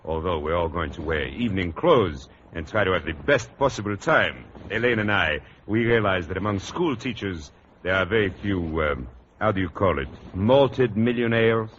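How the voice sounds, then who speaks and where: Gallant French schoolteacher, lively and halting. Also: male voice, sits low. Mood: earnest, eager. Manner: courtly, halting broken English. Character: genteel married French male schoolteacher, earnest and gallant. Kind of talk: 1940s radio sitcom acting. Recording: dull, noisy vintage radio recording.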